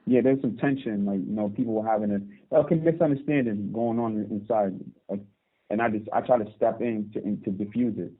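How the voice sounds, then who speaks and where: A man in his thirties from Barbados, calling in from prison and telling his story calmly, with hesitant restarts. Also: on the low side, brisk. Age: 30s. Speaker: guest. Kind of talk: phone interview. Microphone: phone call. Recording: tinny phone line.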